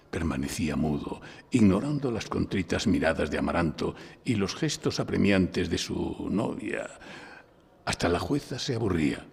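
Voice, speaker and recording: A man from Spain playing a shrewd, composed defense lawyer who narrates his own case deliberately in a live audio drama. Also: low voice, gravelly, a little mellow, slightly rough, exaggerated voice. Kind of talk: courtroom audio drama with hardboiled first-person narration. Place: recorded live before an audience in a library. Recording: live stage recording in reverberant hall.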